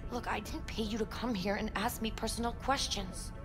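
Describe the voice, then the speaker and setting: A woman's voice: on the high side, moderate pace, over music, lightly acted. A woman playing a guarded, uneasy corporate professional in a video game, clipped and evasive in her speech. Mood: guarded, curt. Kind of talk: naturalistic video game voice acting.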